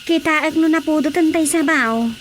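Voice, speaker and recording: A woman's voice: high-pitched, normal speed, loud music behind the voice, lightly acted. A woman acting a dutiful, pleading young member of a household in an Ilocano radio drama. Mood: obliging.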